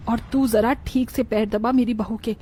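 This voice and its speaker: A woman voicing a bossy, biased older mother-in-law in an animated moral tale, commanding and fussy. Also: on the high side, normal speed, slightly rough.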